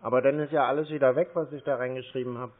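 A man on a phone call, slow and muddled, stubbornly complaining to the caller. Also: medium pitch, fairly even intonation. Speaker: call recipient stringing scammers along. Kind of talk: prank phone call with scammers. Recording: tinny, band-limited phone line.

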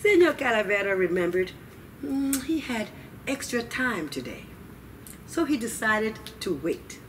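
An older woman telling stories to children at a library, deliberate and expressive, with dramatic pauses. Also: on the high side. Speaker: library storyteller. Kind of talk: children's story time, expressive read-aloud. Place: library children's room, indoors. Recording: distant mic, noisy room ambience.

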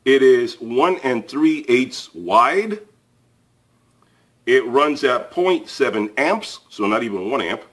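A man's voice: medium pitch, a bit bright. Male host, casual tool-review chatter, plain-spoken, mid-range voice, loose fillers and restarts. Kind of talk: casual product review to camera. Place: indoors at a table, filmed from above.

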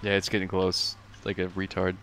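A man's voice: low-pitched, completely flat delivery, a little fast, gravelly, fairly bright tone. A man in casual, sweary chat with teammates while playing a video game. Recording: noisy game audio behind voice. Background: video game battle sounds.

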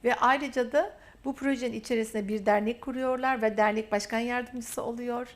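A woman's voice: fairly high pitch, steady tone, normal speed. Effusive woman hosting an interview. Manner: praising, speaks in repeated emphatic phrases. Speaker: interview host. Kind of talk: warm, effusive interview talk.

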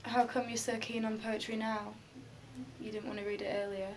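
Flat, guarded young woman played by an actress. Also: fairly high pitch, moderate pace, far from the mic, a little hiss or hum, natural voice. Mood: guarded, withdrawn. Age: young adult. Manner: terse, restrained. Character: guarded, hurt young woman, terse with her ex-boyfriend. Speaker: film actor. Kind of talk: naturalistic film dialogue. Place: small bedroom, indoors.

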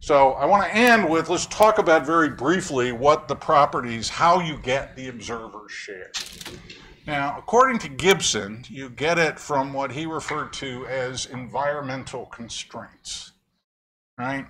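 A man's voice: mid-range voice, animated, unhurried, sharp tone. A man giving a lecture, explaining ideas conversationally, quizzing audience members and cracking wry jokes. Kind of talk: academic lecture with audience questions. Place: lecture room.

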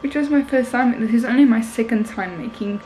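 A woman's voice: fairly high pitch, fairly quick, warm tone, a little hiss or hum, low-quality recording. A woman in her twenties chatting casually to viewers in an informal vlogging style. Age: 20s. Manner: informal, addressing viewers directly. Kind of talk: casual vlog chat and recipe voice-over. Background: music.

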